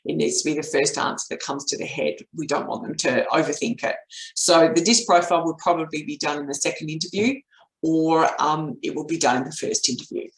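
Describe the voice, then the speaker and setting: A middle-aged woman with an Australian accent and a voice croaky from a cold, explaining steps as a business coach in a webinar. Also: low voice, brisk. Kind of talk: explanatory webinar talk with step-by-step recap. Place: indoor home office, at a desk. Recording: roomy, echoey webcam audio.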